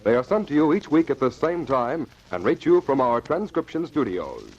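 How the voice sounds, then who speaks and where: A man's voice: mid-range voice, big pitch swings, moderate pace. A man narrating and announcing an old-time radio adventure in a dramatic, scripted style. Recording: muffled, noisy old radio transcription.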